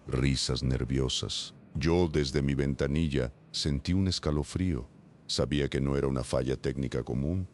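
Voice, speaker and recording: Very deep-voiced man narrating horror.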